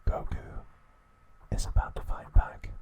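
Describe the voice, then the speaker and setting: A man narrating a scripted recap in a soft, breathy ASMR whisper, slow and deliberate, in short phrases. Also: lo-fi sound.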